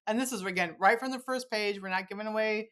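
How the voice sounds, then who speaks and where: A woman's voice: mid-range voice, fast talker, fairly bright tone. Casting: female podcast host, mid-range voice, agreeable, informal interview chat. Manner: agreeable, conversational, uses "like" often.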